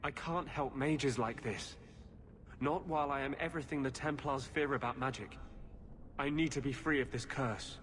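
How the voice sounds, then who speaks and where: An actor playing an earnest, troubled rebel mage in a fantasy video game. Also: male voice, medium pitch, moderate pace, fairly bright tone, slight background noise, natural voice. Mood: solemn, troubled.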